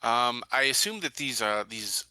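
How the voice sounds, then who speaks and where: A man with a very bright, sharp voice at average pitch talks casually as a player in a tabletop game. The sound is dry and close. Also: expressive, a little slow, clean tone, dry recording.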